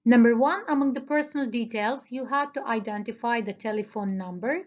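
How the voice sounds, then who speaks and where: A woman's voice: a little high, a little fast, darkish tone, muffled recording. A woman teacher going over listening answers with her students, speaking carefully and addressing them directly. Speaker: English teacher. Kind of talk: slow classroom answer review.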